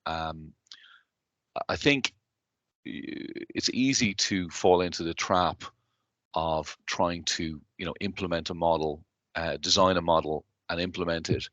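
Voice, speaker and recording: A man with a Dublin Irish accent, in his thirties, answering interview questions in a measured, businesslike way with frequent fillers and restarts. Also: sits low, fairly bright tone, no room sound, highs cut off. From Ireland. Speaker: guest, company chief executive.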